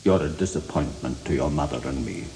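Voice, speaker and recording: Cold reproachful father, ailing, deep voice, grave, radio play, reverberant sound. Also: brisk, gravelly, warm tone. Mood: cold, disappointed. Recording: long reverb, faint background noise.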